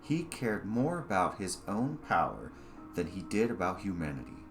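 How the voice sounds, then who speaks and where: Man, author narrator, fairly low voice, animated pitch movement, short story reading, faint music behind. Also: moderate pace.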